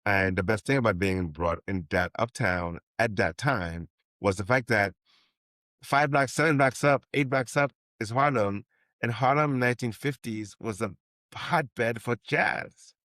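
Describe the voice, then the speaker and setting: A man's voice: fairly low pitch, unhurried, a bit bright, no room sound. A man in his fifties from Haiti, a novelist guest talking in a rambling way with many restarts and ums. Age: 50s. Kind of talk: interview conversation.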